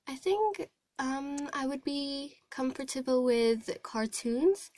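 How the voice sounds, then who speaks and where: A woman's voice: high voice, lively intonation, takes it slowly, darkish tone, no room sound. A young woman from Hong Kong, a voice-over trainee, giving casual, hesitant, thoughtful answers. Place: recording studio.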